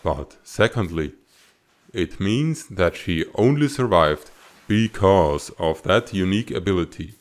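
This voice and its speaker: A man playing a stern, guarded serpent-dragon rebel leader and father in a comic fandub, speaking in a measured, formal, authoritative way. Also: low voice, expressive. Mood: resolute. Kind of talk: dramatic comic fandub acting.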